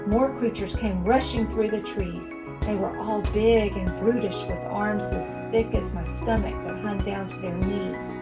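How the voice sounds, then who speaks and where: A woman reading a children's novel aloud as expressive storybook narration. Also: mid-range voice.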